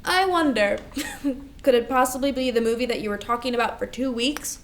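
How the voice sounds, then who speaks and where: Woman as teasing, high-voiced teenage big sister. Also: moderate pace, lightly acted. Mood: affectionate, playful. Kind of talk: staged reading of a play.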